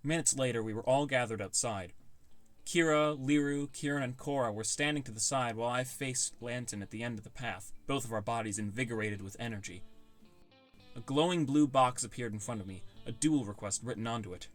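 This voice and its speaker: A man's voice: mid-range voice, a little fast, soft background music. A man reading scripted first-person audiobook narration.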